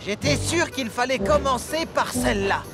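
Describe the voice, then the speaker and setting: A man's voice: extremely high pitch, normal speed. A man voicing a quarrelsome male engine brother in a cartoon, argumentative in tone. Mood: impatient. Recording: cartoon dub with music behind.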